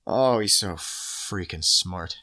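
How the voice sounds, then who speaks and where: A man's voice: low voice, slow pace, bright, forceful tone, voice pushed down, heavily acted. A man playing a snippy, sarcastic young woman in a fantasy game, casual and brusque in manner. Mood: gushing. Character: snippy, gossipy young woman devoted to a priest.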